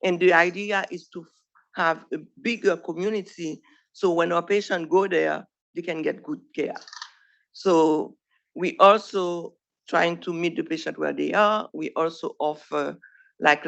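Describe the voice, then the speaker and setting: A woman's voice: on the low side, steady tone, a little slow, creaky, a bit bright. A woman from Haiti with a Haitian accent, a primary care doctor giving an earnest presentation to trainees. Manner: earnest, plain speech with small grammar slips. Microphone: podium microphone.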